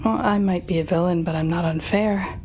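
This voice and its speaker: A woman's voice: on the low side, moderate pace, a little mellow, slight background noise, lightly acted. A woman playing a weary, sardonic telepathic supervillain in an audio roleplay, speaking wryly and dramatically straight to one listener. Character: weary sardonic female telepathic supervillain, bitter yet fair.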